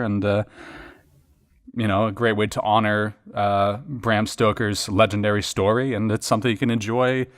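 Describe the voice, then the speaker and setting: A man talks off the cuff about his acting and editing work on a podcast. He rambles along like a storyteller, thinking aloud as he goes, with an ordinary mid-pitched voice. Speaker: podcast voice actor and editor. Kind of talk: casual reflective chat about acting work.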